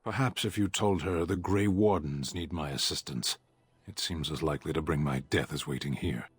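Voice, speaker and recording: A man playing a stoic, grimly resigned captive warrior in a video game, speaking in terse, solemn, measured words. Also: rumbling low, husky, no room sound. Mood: solemn, guarded.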